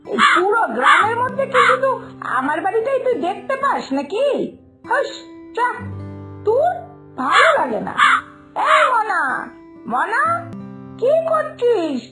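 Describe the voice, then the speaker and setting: Woman voicing a cartoon village mother, very high shrill voice, animated swoops, nagging, exasperated, wailing complaints. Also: measured pace, long pauses, over music, full character voice. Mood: annoyed, scolding. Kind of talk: cartoon fairytale voice acting.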